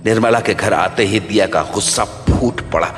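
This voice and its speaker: A man's voice: lowish voice, moderate pace, a little hiss or hum. A man giving dramatic scripted narration of a horror story. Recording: long reverb under prominent music.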